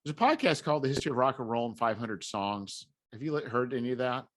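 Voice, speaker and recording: A man's voice: mid-range voice, lively intonation, quick pace, a bit bright. A man hosting a podcast in casual conversation, feeling his way through rambling, self-correcting sentences. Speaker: podcast host.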